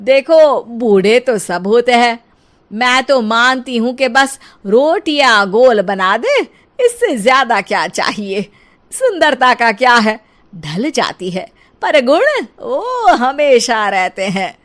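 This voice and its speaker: A woman voicing a vain, scolding middle-aged mother-in-law in a comic cartoon story, with emphatic, drawn-out delivery. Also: on the high side, big pitch swings, fairly bright tone, exaggerated voice. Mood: preachy. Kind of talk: comic Hindi moral-story cartoon acting.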